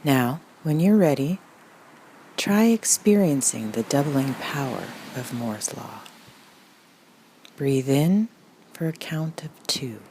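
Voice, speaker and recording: Slow, low-voiced woman guiding a meditation. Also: a little hiss or hum, low-quality recording. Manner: calm, soothing, deliberate, deadpan. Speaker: guided meditation narrator. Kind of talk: parody guided meditation, scripted narration.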